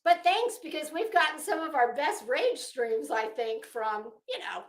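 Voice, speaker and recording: A woman's voice: high voice, animated, measured pace, echoey room. A woman hosting a casual livestream, chatting to viewers in a rambling, opinionated, emphatic way. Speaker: livestream host.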